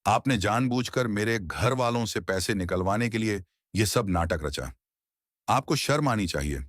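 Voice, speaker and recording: A man acts out a righteous young brother in a cartoon. His voice is on the low side and moves a lot in pitch, earnest and admonishing, recorded dry. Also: expressive, a little slow, a bit bright, dry recording. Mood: reproachful. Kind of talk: Hindi moral-story cartoon voice acting.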